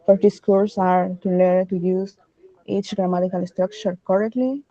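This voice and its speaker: A woman's voice: mid-range voice, steady tone, moderate pace, warm tone, lo-fi sound. A woman in her twenties from El Salvador introducing herself fluently to her English class. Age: 20s. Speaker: student.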